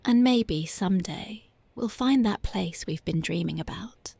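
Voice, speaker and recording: A voice actress playing a young punk girlfriend, speaking softly and whispering in a dreamy, intimate, affectionate ASMR roleplay close to the mic. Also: medium pitch, measured pace, gravelly, slightly rough, dry recording. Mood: tender dreamy. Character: young punk woman, tender devoted girlfriend.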